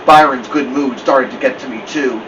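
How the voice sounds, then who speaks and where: Expressive male storyteller, muffled and distant. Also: medium pitch, moderate pace. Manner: expressive read-aloud delivery. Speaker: story-time host reading aloud. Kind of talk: storybook narration. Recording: muffled lo-fi, distant mic, loud hiss.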